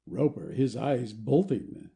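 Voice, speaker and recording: A man's voice: medium pitch, lively intonation, slow, creaky, mellow. A man narrating an audio play, reading out scripted stage directions.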